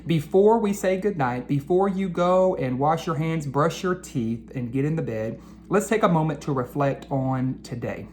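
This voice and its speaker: A woman educator talking to children after a storybook read-aloud, deliberate and earnest, addressing them directly. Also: sits low, expressive, darkish tone, slight background noise.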